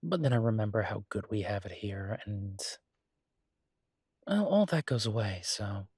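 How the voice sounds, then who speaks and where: A man playing an easygoing, earnest chef boyfriend in an intimate roleplay audio drama, talking casually and haltingly with a husky, reassuring voice. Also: fairly low pitch, big pitch swings, darkish tone, no echo, studio quality, heavily acted. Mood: wistful.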